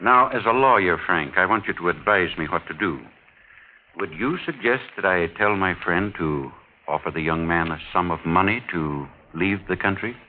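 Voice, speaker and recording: Wealthy older gentleman, 1940s radio mystery: very low, measured, quietly menacing, genteel. Muffled, lightly noisy vintage recording. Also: expressive. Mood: sly, controlled. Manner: measured, formal, insinuating, falsely genial. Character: wealthy, older husband, suave, quietly menacing mining engineer.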